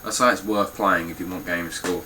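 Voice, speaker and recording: Low, bright nasal-sounding man. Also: fairly even intonation, normal speed, slight background noise. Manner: blunt and swearing, with frequent ums. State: stuffy, nasal voice. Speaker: game collector vlogger. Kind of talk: casual spoken game collection review.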